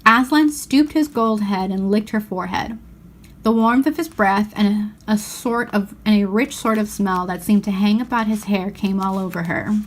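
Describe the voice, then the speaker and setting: A woman reading a children's novel aloud as expressive narration. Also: medium pitch, normal speed, poor audio. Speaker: storybook reader.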